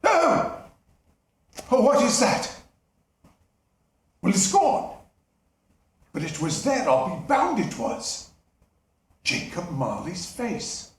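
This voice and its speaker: A man's voice: huge pitch swings, slow pace, pauses often, darkish tone, full character voice. A man voicing the miser Scrooge as a frightened, stammering old man. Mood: startled. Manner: shrill, panicky, stammering. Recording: echoey room, distant mic.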